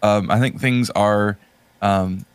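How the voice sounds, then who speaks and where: A man's voice: fairly low pitch, fairly even intonation, slow pace, smooth, no room sound. A man in casual chat as a podcast guest, rambling and slangy with a jokingly exasperated manner.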